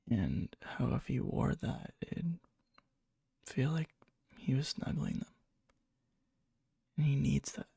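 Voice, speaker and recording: Man playing a breathy, gravelly needy lovesick friend. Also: medium pitch, no room sound, full character voice. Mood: yearning, secretive. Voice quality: breathy, soft, fry-edged. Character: needy, lovesick, obsessive young male friend confessing indirectly. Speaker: ASMR roleplay performer. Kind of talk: whispery ASMR roleplay, intimate storytelling.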